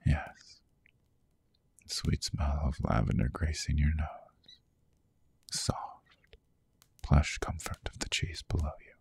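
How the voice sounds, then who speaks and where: Man voicing a very deep whispering seductive vampire. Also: heavily acted. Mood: soothing, intimate. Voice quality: breathy, gravelly whisper. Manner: slow, soothing, coaxing, hushed. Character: seductive male vampire captor, soothing and possessive lover. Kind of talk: ASMR roleplay, guided sleep hypnosis.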